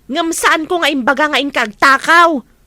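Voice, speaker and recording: Nagging exasperated filipino wife, ilocano accent, high bright piercing voice, radio drama. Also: female voice, moderate pace, no echo. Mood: scolding. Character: exasperated, scolding wife of a petty thief.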